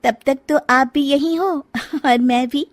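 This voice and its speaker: A woman's voice: high voice, slow pace, no echo, pitched above the performer's own voice. A woman voicing a caring but blunt young daughter-in-law in a dramatised moral story, earnest and coaxing. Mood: taunting.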